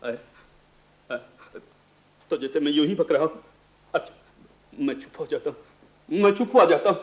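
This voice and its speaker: A male actor playing an older, rambling gentleman of the house in a radio play, theatrical and given to repeating himself. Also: fairly high pitch, unhurried, dull audio, natural voice. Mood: flustered, fussy. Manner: theatrical, repetitive, stammering. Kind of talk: Hindi radio play acting.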